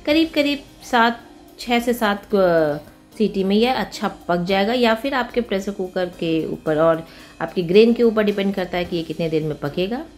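A woman's voice: mid-range voice, expressive, normal speed, darkish tone. A woman with an Indian accent narrating a recipe step by step as a cooking host, informally and with English words mixed in. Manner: mixes English words and phrases into her talk. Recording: music behind the voice. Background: background music.

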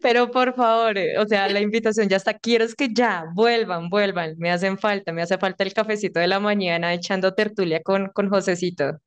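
A woman's voice: medium pitch, fairly even intonation, a little fast, hardly any pauses, clean tone. A woman, a hotel marketing manager, enthusiastically recounting a visit over a video call. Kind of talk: casual video call chat. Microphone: webcam on video call.

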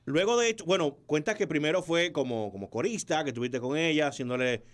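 A man with a Dominican accent hosting a casual urban music interview on radio, effusive and quick to exclaim. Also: on the high side, expressive. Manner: effusive, frequent exclamations of wow. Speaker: radio host. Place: small radio studio. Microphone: close studio microphone with headphones.

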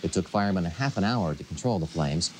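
A man's voice: low voice, expressive, quick pace, a little hiss or hum. A young man anchoring a television newscast from a studio. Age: young adult. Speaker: news anchor. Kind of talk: newscast delivery.

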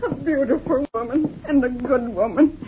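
An actress playing a distraught elderly housekeeper who is crying, in a 1950s radio drama. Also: female voice, high voice, normal speed, lightly acted. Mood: grieving. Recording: noisy dull old radio recording.

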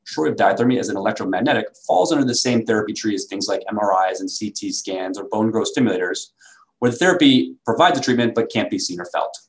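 A man presenting a product as a company sales executive, in a measured, explanatory way. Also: lowish voice, animated, low-quality recording.

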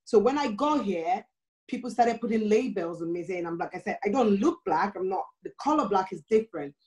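A woman's voice: mid-range voice, animated, fairly quick, warm tone. A woman from Nigeria with a Nigerian accent, speaking as a guest on a video call panel in an earnest storytelling way.

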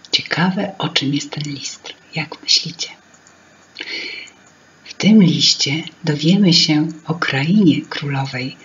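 A woman in her fifties reading a fairy tale to children, expressive and lingering, with whispered asides. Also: low-pitched, slight background noise. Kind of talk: storybook narration for children. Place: small room at home with paintings. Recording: dull lo-fi home recording.

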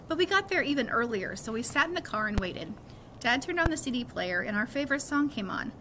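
Bright-voiced middle-aged woman reading aloud. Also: a little high, a little hiss or hum, low-quality recording. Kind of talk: storybook read-aloud, narration with acted dialogue. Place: small cluttered school office, indoors.

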